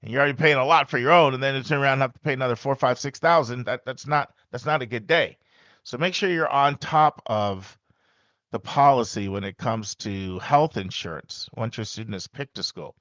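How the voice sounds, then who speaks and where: A man hosting a podcast in a loud, emphatic, conversational way, often turning aside to check in with the listener. His voice sits in an ordinary middle register. The recording is close and dry, with no room sound. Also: mid-range voice, a little fast, dry recording, muffled recording. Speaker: podcast host and college admissions advisor. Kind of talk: casual podcast monologue.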